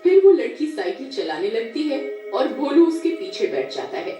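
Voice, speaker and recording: A woman's voice: on the high side, big pitch swings, normal speed, mellow. A woman storyteller reading a scripted horror tale. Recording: echoey, distant, music underneath.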